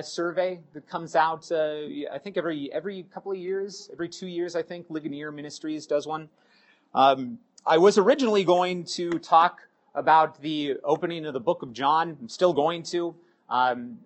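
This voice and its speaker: Hoarse man preaching through a cold. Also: on the high side. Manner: halting, many ums and uhs. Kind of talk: sermon with scripture reading.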